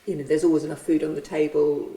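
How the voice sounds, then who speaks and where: A woman earnestly recounting her experience of hosting, pausing and restarting as she goes. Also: deep voice, little pitch movement, warm tone. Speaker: church member and refugee host. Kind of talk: reflective testimony. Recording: roomy, from a distance.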